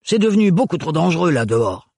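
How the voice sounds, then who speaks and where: Audiobook figure: male reader as a gruff burly servant, fast and loud, dry sound. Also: on the high side, very animated, dry recording, pitched above the performer's own voice, exaggerated voice. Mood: blustering. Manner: blustering, gruff, rapid. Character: gruff burly working man, loyal and rough.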